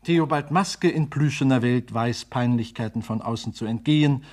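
Male radio play narrator, mid-pitched. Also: normal speed, darkish tone.

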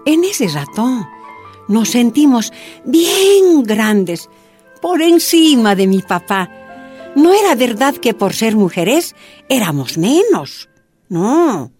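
A proud older Bolivian woman looking back on childhood. She speaks slowly, with expressive intonation, in radio drama style over faint music. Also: a little high. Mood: proud, reflective.

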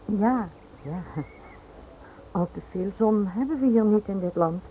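A woman acts out a reserved upper-class lady in a radio play, polite but flustered. She speaks haltingly in a medium-pitched voice, on a narrow, faintly noisy old recording. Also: lightly acted.

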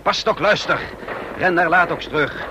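Actor as male squad commander, high strained pitch, barking, urgent, radio play, gunfire behind. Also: normal speed, close to an everyday voice. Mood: urgent, commanding. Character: male squad commander shouting orders in a firefight. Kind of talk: Dutch radio play acting. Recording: gunfire and battle noise over voice.

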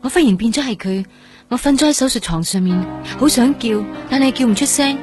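An actress playing a dreamy, gently stubborn young female patient in a radio drama. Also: on the high side, fairly quick, music in the background, lightly acted. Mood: uneasy, dreamy. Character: young woman recovering from heart transplant, dreamy, gently stubborn. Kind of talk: Cantonese radio drama acting with first-person narration.

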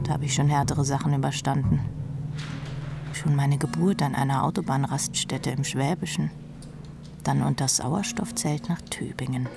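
An actress playing a jaded, sardonic rock drummer woman who confides her thoughts in a breathy murmur in a radio drama. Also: rumbling low, full character voice. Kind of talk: radio drama inner monologue. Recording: music behind the voice.

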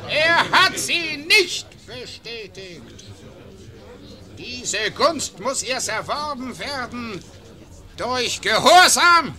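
A haughty tyrant governor in a classic radio play, handing down orders with slow, weighty menace. The voice sits high and very bright and swings widely in pitch. He talks down to everyone around him. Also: heavily acted. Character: arrogant tyrannical male governor, middle-aged.

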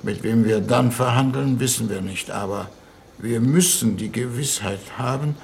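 An actor playing a solemn, pompous statesman on a diplomatic mission in a 1950s radio play, speaking formally and haltingly. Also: mid-range voice, creaky, a little mellow, close to an everyday voice. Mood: stern, urgent. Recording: noisy 1950s radio recording.

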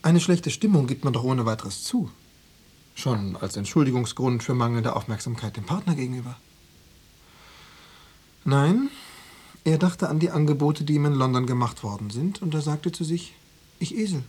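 Insinuating secret police officer, husky. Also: male voice, mid-range voice, lively intonation, normal speed, pauses often, a little mellow. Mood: insistent, coaxing. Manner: probing, coaxing, coolly formal with veiled menace. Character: insinuating male Stasi officer pressuring an informant. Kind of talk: German radio drama dialogue.

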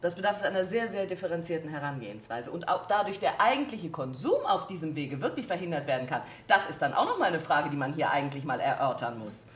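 Cautious female official, animated pitch. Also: fast talker, few pauses. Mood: guarded. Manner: bureaucratic, hedging, wordy. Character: cautious, evasive female state secretary. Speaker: state secretary. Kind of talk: committee statement in radio drama. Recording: roomy, faint background noise.

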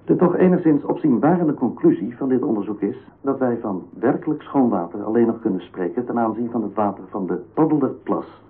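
An actor playing an earnest, formal programme presenter in a radio play, delivering a wordy official report. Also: male voice, on the low side, natural voice. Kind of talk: parody broadcast announcement in a radio play. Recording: tinny narrow-band radio recording.

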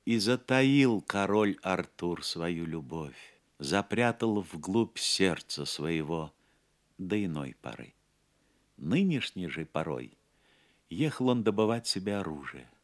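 A man narrating a legend as a scripted audio play, in a measured, literary and theatrical manner. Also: sits low, sing-song.

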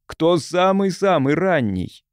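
A man's voice: fairly high pitch, big pitch swings, slow, clean tone, dry recording, clean studio sound, voice pushed up. A man voicing an anxious, eager male explorer who pleads insistently in a trembling way. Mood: pleading insistent. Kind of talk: audiobook character voice.

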